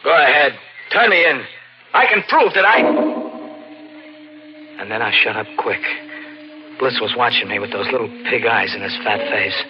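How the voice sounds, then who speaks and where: An American actor playing a cornered, wary fugitive in radio noir, talking and narrating in a terse, sardonic, hard-boiled way. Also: male voice, mid-range voice, lively intonation, moderate pace, pauses often, natural voice. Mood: defiant, curt. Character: cornered, wary fugitive man, cynical and reluctant. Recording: dull old radio recording, music behind.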